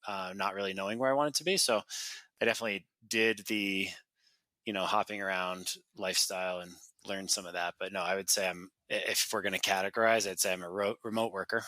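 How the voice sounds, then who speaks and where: A man speaking as a podcast guest, chatting in a relaxed way with easy laughs and frequent fillers. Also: deep voice, a little fast, no echo. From North America. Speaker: podcast guest, company founder. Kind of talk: casual interview talk.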